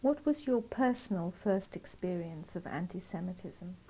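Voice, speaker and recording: A woman's voice: fairly low pitch, lively intonation, moderate pace, dark tone, breathy edge, poor audio. A woman interviewer asking brief, plain questions. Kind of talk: oral history interview questions.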